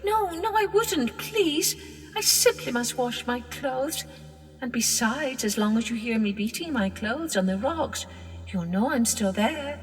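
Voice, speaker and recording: A woman's voice: fairly high pitch, expressive, measured pace, long reverb tail, recorded from a distance, faint music behind, voice pushed up, low-quality recording. A woman voicing a meek, pleading young shepherd girl held as a servant in a children's story. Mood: timid, pleading. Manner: timid, pleading, polite.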